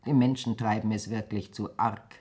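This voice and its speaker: A woman's voice: rumbling low, fairly even intonation, normal speed, dark tone. An actress playing a frail, aggrieved old peasant mother in a radio play, lamenting emphatically and fiercely loyal to her son. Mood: bitter lament. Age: older. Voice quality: husky, breathy. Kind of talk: German radio play acting.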